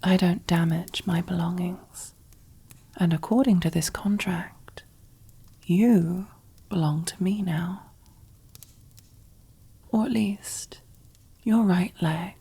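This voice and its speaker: A woman playing a commanding, possessive, silkily menacing mob boss in an ASMR roleplay, with a breathy, husky near-whisper and slow, teasing, condescending delivery. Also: lowish voice, dark tone, exaggerated voice. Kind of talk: ASMR roleplay, close whispered character acting.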